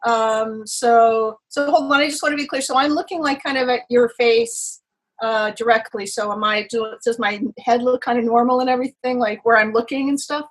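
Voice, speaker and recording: A woman's voice: fairly high pitch, normal speed, low-quality recording. A woman in her sixties from Los Angeles, talking chattily as a guest over a video call. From Los Angeles, California. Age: 60s. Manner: chatty, animated, self-deprecating. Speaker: podcast guest, writer and poker player.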